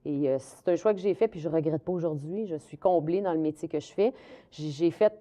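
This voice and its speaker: A woman's voice: deep voice, a little fast, dark tone. A middle-aged woman with a Québécois French accent, a community police officer explaining her career steadily, with many fillers and restarts. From Quebec, Canada. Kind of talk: interview answers.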